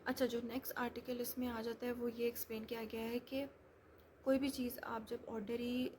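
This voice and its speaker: A woman's voice: a little high, little pitch movement, brisk, a little mellow. Woman explaining law over background noise. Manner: conversational, explains with examples, many fillers. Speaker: law lecturer.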